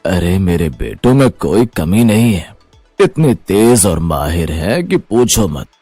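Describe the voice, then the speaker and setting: A man's voice: deep voice, sing-song, takes it slowly, warm tone, slightly rough, dry recording, faint music behind, pitched below the performer's own voice, heavily acted. A man voicing a demanding old father-in-law, grumbling and bossy, in a cartoon moral story. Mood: boastful. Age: older. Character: demanding old father-in-law, strict, self-satisfied patriarch.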